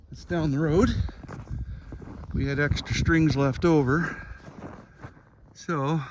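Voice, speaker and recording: Casting: easygoing man, unhurried homeowner walkthrough, rambling and casual, noisy outdoor recording. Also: mid-range voice, a bit bright. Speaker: homeowner giving a tour of his Christmas light display. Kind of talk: casual unscripted tour narration. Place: outdoors on a rural acreage.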